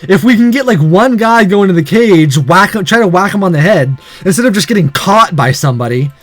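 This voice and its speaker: Animated, high-pitched man reading a visual novel. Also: a little fast, no echo, soft background music. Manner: sarcastic, exclamatory asides. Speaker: let's-player reading a visual novel aloud. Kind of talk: dramatic read-aloud with playful commentary.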